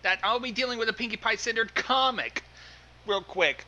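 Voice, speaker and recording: A man's voice: high-pitched, normal speed, bright, forceful tone, highs cut off. A man bantering on a casual livestream as co-host, melodramatic and exasperated in his complaints.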